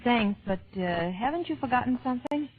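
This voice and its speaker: A woman playing a gracious, gently teasing vaudeville singer, wife and mother, in an old-time radio drama. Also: on the high side, expressive, fairly quick, a little hiss or hum, natural voice. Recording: tinny old radio recording with music.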